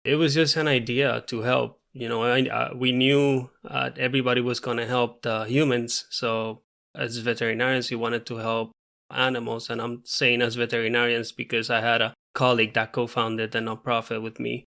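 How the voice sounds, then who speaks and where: A man's voice: medium pitch, little pitch movement, gravelly, bright, forceful tone. A man in his thirties from Puerto Rico with a Puerto Rican Spanish accent, a native Spanish speaker, talking earnestly as a veterinarian guest in a podcast interview. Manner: earnest, measured, frequent fillers.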